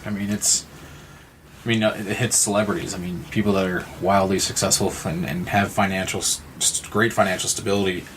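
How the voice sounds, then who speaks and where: A man with a lowish voice and very even intonation talks in a reflective podcast discussion. He is halting and repeats words as he works out his thought, with faint noise behind him. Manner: halting, repeats words while thinking aloud. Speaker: podcast participant.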